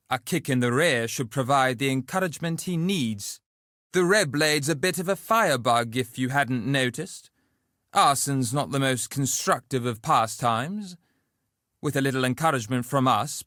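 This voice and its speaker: An actor playing a cocky, loquacious duelist and schemer in a video game, with a wry, flippant, theatrical delivery. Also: male voice, a little high, bright, forceful tone, dry recording, clean studio sound.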